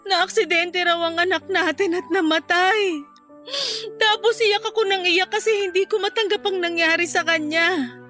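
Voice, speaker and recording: A woman's voice: extremely high pitch, normal speed, pushed and bright, faint music behind. A woman playing an anxious, grief-stricken mother in a radio drama, pleading and crying, with a Filipino accent. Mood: tearful, fearful. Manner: pleading, tearful delivery. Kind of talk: emotional radio drama acting.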